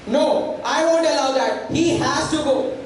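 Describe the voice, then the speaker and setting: Stage play ironmaster father, played by a student actor: high-pitched, stern, dismissive, slow declamation. Distant pickup, noisy hall. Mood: angry, dismissive. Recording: distant echoey hall, clear background noise.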